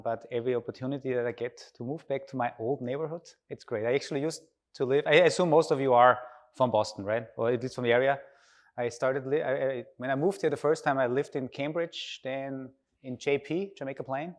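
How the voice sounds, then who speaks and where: A man's voice: mid-range voice, steady tone, fairly quick. Austrian-accented man giving a conference talk. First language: German. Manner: informal, engages audience with questions. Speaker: conference speaker.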